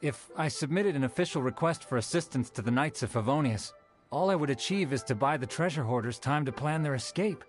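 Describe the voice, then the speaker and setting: A man's voice: mid-range voice, expressive, a little fast, soft background music, lightly acted. A man voicing a dutiful, earnest city guard with a formal, official manner in a video game.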